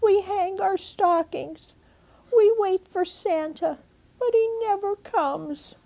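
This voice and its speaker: A woman's voice: extremely high pitch, takes it slowly, gravelly, mellow, voice pushed up, exaggerated voice, rough recording. An older woman voicing a small, downcast chipmunk child from a storybook in a plaintive, childlike way. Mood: sad, plaintive.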